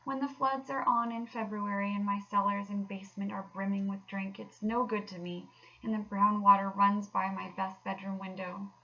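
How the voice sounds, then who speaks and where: A woman's voice: medium pitch, bright, forceful tone, highs cut off. A woman voicing a confident, fussy male water rat in a children's storybook, chummy and emphatic. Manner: chummy, emphatic, old-fashioned turns of phrase. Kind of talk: children's storybook read aloud.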